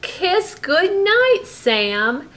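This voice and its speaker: A woman voicing a gentle mother bear. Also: very high voice, sing-song, very slow, voice pushed up, exaggerated voice. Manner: soft, coaxing.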